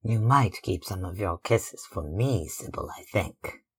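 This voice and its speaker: A narrator voicing a gruff but good-natured young lad, blunt and grumbling, in an audiobook. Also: deep voice, lively intonation, unhurried, full character voice. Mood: teasing grumble. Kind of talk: audiobook character acting.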